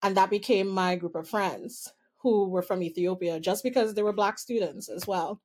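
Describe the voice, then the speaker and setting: A woman's voice: medium pitch, normal speed, a little mellow. A woman from Trinidad with a Trinidadian accent, a guest telling personal stories in an interview in a candid, amused way and drawing out key words.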